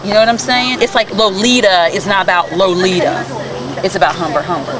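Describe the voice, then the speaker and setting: A woman's voice: mid-range voice, steady tone, a little fast, crowd noise. A woman speaking casually as an author explaining characters in an interview. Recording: amateur video, noisy background.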